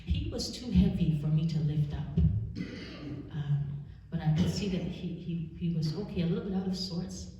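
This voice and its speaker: A woman in her forties from Canada giving an earnest, measured personal testimony at a church service, pausing often. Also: on the low side, dark tone, slight background noise. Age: 40s. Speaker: church speaker. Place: church sanctuary. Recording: roomy church, distant mic, dull sound.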